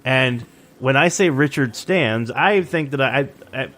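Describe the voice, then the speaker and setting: A man's voice: mid-range voice, a little slow, fairly bright tone. Chatty New England man podcasting. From Central Maine. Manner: rambling, laughs often, many restarts. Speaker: podcast co-host. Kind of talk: casual chat.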